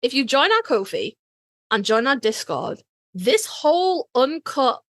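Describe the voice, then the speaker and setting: A woman co-hosting a casual, joking fan podcast, telling things in an animated way and swearing freely. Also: sits high, measured pace. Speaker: podcast co-host.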